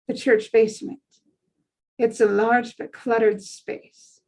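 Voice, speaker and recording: High-voiced woman narrating a script. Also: low-quality recording. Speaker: narrator reading stage directions at a table read. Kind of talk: scripted narration, screenplay read aloud.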